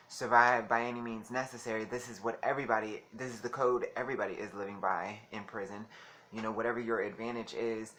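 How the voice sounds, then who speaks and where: Male vlogger, soft bright voice, chatty and giggly, faint hiss behind. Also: lowish voice. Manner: chatty, giggly, calls listeners honey. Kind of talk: casual talk to camera.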